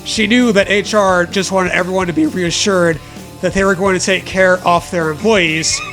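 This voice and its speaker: High-pitched, sarcastic man. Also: steady tone, moderate pace, fairly bright tone, faint music behind. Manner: sarcastic, profane. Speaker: comedy show co-host. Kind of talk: mocking read-aloud of fan fiction with banter.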